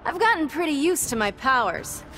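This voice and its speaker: Young game superheroine, high animated voice, sassy, defiant, confident, music behind. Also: female voice, normal speed. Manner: flippant, defiant. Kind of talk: video game character acting.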